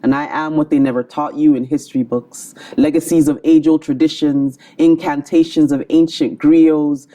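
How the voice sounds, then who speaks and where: A woman performing a spoken word poem in a rhythmic, measured delivery. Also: low voice, warm tone. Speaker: poet. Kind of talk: spoken word poetry recital.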